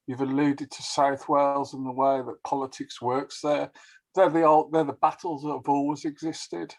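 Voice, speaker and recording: Rambling man with a flat voice. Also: medium pitch, low-quality recording. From England. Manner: rambling, restarts sentences. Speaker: caller to a local discussion show. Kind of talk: casual rambling opinion.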